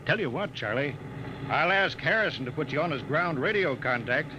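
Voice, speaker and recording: An actor in a 1950s radio drama playing a gruff, commanding rocket captain with a brusque manner. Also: male voice, on the high side, expressive, normal speed, lightly acted. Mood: gruffly sympathetic. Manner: brusque, barking orders. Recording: muffled, very noisy 1950 radio broadcast.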